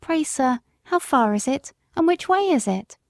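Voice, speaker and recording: A woman's voice: high voice, animated, a little slow, gravelly, darkish tone, no echo, clean studio sound, pitched above the performer's own voice, full character voice. A woman voicing a pleading young country mother.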